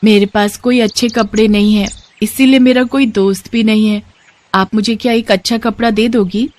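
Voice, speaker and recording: A woman's voice: mid-range voice, steady tone, normal speed, a little mellow, natural voice. A woman voicing a plucky, innocent little girl with a bold, curious, childlike manner in a children's story. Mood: shy.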